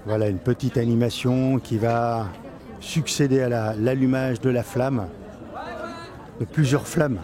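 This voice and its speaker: A man giving halting live event commentary as an on-the-spot reporter, often pausing and repeating himself. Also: medium pitch, steady tone. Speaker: event reporter and club president doing live coverage. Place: outdoors in a town street among a crowd. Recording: outdoor live recording amid crowd noise. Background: crowd and street sounds.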